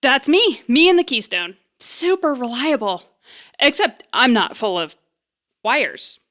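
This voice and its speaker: A woman's voice: high voice, big pitch swings, a little slow, fairly bright tone, full character voice. A woman playing a nervous, jokey young woman who rambles and puts herself down as she bluffs through an interview in an audio drama. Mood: joking, nervous. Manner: rambling, self-deprecating, flustered. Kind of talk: audio drama acting, conversational comedy.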